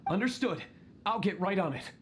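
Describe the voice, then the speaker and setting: Eager, high-pitched young hunter. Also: male voice, normal speed, a little hiss or hum. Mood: dutiful. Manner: earnest, excitable. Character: eager, anxious young hunter, loyal to his leader. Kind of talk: video game voice acting.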